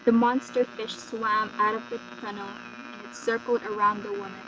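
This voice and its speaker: A woman's voice: mid-range voice, flat delivery, normal speed. A woman storyteller giving a scripted, dramatic narration of a folktale. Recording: dull lo-fi recording with loud mains hum.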